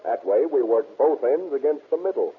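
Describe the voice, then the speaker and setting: An actor playing a shrewd, hard-nosed American police detective in a 1930s radio crime drama, brisk and insistent. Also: male voice, medium pitch, lively intonation, noisy background, natural voice. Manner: brisk, insistent, matter-of-fact. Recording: muffled, raspy old radio recording.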